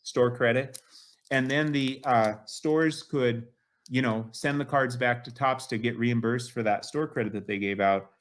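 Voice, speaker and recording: A man's voice: medium pitch, a little slow. A man in his late fifties, a guest on an interview show, talking enthusiastically and at length with lots of "you know". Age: 50s. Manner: enthusiastic, rambling, many fillers like "you know". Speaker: guest collector. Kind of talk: casual interview chat.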